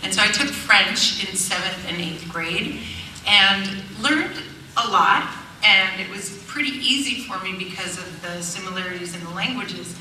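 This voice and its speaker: A middle-aged woman talks earnestly on a panel, her voice bright and ringing. She is heard from some distance in a very echoey hall, with faint noise underneath. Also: mid-range voice, unhurried. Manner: earnest, fillers and restarts. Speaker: panelist, immersion teacher. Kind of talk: panel talk. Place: event hall. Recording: echoey hall, far from mic.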